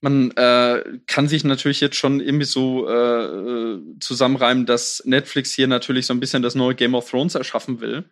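A man chatting casually and with clear opinions as co-host of a film news show. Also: medium pitch, steady tone, moderate pace, hardly any pauses.

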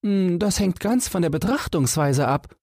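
A man acts out a bold young fairy-tale hero, cocky and teasing. The voice is lifted well above the reader's own, with a husky edge and a jaunty delivery suited to a storybook. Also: high voice, animated, moderate pace, darkish tone, no echo, clean studio sound, pitched above the performer's own voice, exaggerated voice. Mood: nonchalant. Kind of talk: fairy-tale audiobook character voice.

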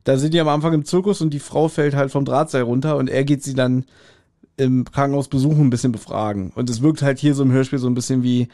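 A man's voice: medium pitch, steady tone, quick pace, dark tone. A man chatting casually as a podcast host, retelling stories informally. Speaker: podcast host reviewing audio dramas. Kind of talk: casual chat.

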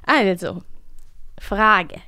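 A woman's voice: medium pitch, extremely slow, sharp tone, clean tone, dry recording, studio quality. A woman co-hosting a podcast in casual, playful conversation. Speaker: podcast co-host.

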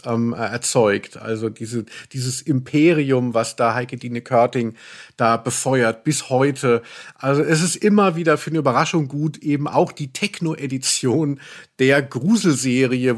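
A man hosting a podcast in casual chat, rambling and interrupting himself in a playfully wordy way. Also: medium pitch. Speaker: podcast host. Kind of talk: casual chat about radio plays.

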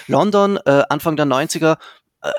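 A man's voice: on the high side, normal speed, no room sound, studio quality. Hesitant man hosting an interview. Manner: hesitant, many fillers. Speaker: podcast host. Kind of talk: casual interview.

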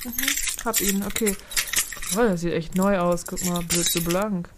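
A woman's voice: fairly low pitch, steady tone, background noise. A young woman co-hosting a podcast, chatty and teasing while acting out a playful spy adventure. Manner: chatty, teasing, quick asides. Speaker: podcast co-host. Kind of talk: playful improvised adventure role-play.

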